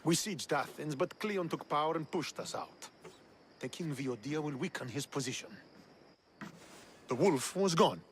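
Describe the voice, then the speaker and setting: An actor playing a bitter, hostile Spartan commander who resents the hero. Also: male voice, a little high, lively intonation, measured pace, pauses often, a little hiss or hum. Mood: scornful. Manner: scornful, resentful. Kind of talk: video game cutscene acting.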